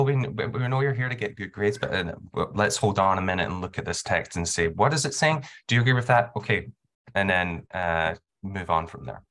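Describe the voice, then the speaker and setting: A man in his thirties giving a webinar talk on a video call from a room at home, animated, acting out classroom exchanges. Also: deep voice. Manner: animated, acts out classroom dialogue. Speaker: webinar speaker.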